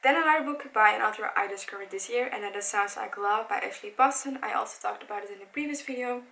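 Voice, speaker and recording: A young woman in her twenties whose first language is Dutch talks casually at home. She sounds eager and chatty, stumbling and correcting herself now and then, with a voice at an ordinary middle pitch. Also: fast talker, gravelly, bright, forceful tone. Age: 20s. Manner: chatty, enthusiastic, with frequent self-corrections and "like". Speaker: book vlogger. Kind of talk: casual chat. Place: home room by a bookshelf.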